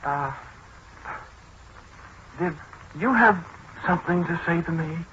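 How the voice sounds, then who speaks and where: Flustered, stammering, high-pitched timid fellow. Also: male voice, takes it slowly, bright, forceful tone. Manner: stammering, hesitant, baffled. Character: flustered, timid, bewildered mild-mannered man. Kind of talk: 1940s radio acting. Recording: noisy, muffled 1940s radio recording.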